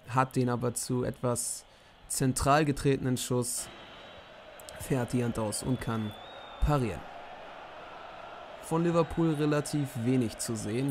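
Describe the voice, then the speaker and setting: Hoarse guy doing football recap commentary, bit of fry in his voice, lively. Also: mid-range voice, slight background noise. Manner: lively play-by-play summary. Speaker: host commentating simulated matches. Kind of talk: match summary commentary.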